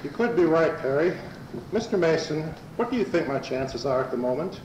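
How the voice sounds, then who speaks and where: A man's voice: fairly high pitch, expressive, normal speed, a little mellow, far from the mic, close to an everyday voice. A man playing a mild, earnest bovine space adventurer in an amateur radio comedy, speaking plainly and matter-of-factly. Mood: defensive. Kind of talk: amateur old-time radio comedy acting. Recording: roomy amateur recording, faint noise.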